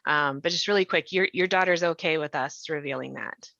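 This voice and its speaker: Low-voiced woman hosting, slightly dull audio. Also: brisk, creaky, pushed and bright, dry recording. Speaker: host and podcast writer. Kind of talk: casual discussion, moderating.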